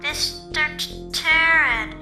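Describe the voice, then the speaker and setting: A woman voicing a polite, glitchy little helper robot that stutters, in a video game fandub. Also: high-pitched. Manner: stuttering, halting, repetitive. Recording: echoey, with music behind.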